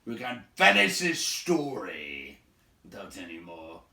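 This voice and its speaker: A man voicing a gruff, growling, impatient big man, a grudging host in a storybook, with emphatic, drawn-out phrasing. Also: sits low, wildly swooping pitch, sharp tone, heavily acted. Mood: exasperated. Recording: roomy echo, distant from mic.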